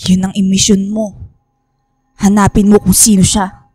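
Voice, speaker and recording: An actress playing a grave, commanding professor and mystical mentor, speaking deliberately and portentously in a radio drama. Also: female voice, mid-range voice, flat delivery, mellow, slightly rough, no echo, studio quality, close to an everyday voice.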